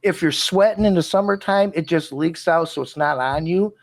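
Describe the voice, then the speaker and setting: A man in his late twenties hypes things up with playful enthusiasm in a casual podcast chat. His voice sits a little higher than most men's. He talks loosely, with fillers and happy exaggeration. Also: moderate pace, dry recording. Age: 20s. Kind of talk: casual chat showing merch.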